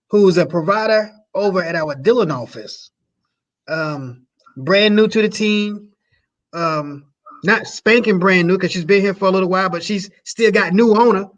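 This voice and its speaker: A man's voice: high voice, a little slow, poor audio. A man co-hosting a podcast, joking and laughing as he introduces a guest. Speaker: podcast co-host. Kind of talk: casual podcast chat.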